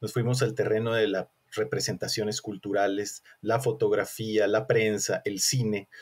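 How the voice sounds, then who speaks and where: Low-voiced male academic. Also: level tone, normal speed. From Colombia. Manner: discursive, often rephrasing himself. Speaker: academic researcher, interview guest. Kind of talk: conversational interview answers.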